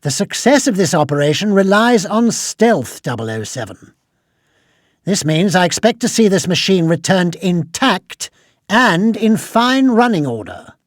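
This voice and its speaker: High-voiced, precise British quartermaster. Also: male voice, very animated, a little slow, no room sound, studio quality. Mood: proud, formal. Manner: formal, precise, wry. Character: dry, proud male spy gadget quartermaster briefing an agent. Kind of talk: scripted mission briefing in a video game.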